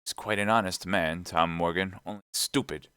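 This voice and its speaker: Male reader as old sailor landlord, bluff, blustering, salty talk, bright forceful tone, storybook villain, dry recording. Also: deep voice, animated, measured pace, no room sound, exaggerated voice. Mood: confidential. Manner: loud, forceful exclamations in salty seaman's talk.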